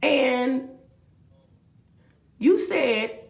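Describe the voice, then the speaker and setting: A woman's voice: sits high, very slow, roomy sound. A woman, rambling and talking straight to her viewers with many asides. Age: 50s. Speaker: vlogger. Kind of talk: rambling confessional vlog. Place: room with a bed, indoors.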